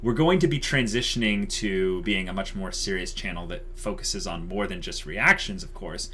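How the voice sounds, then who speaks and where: A man's voice: sits low, moderate pace, pushed and bright, a little hiss or hum. A man hosting a channel, talking casually to viewers in a wordy, explanatory way full of qualifiers. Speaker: channel host.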